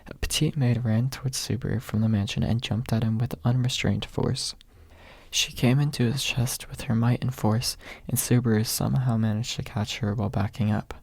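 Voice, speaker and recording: Male audiobook reader for ASMR, mellow and gravelly, recorded close and dry. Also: medium pitch, fairly even intonation, normal speed, dry recording. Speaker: audiobook narrator.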